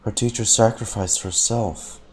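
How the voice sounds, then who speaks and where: A man's voice: on the low side, steady tone, normal speed. A man streaming a casual live reaction to a game, dropping sparse muttered remarks.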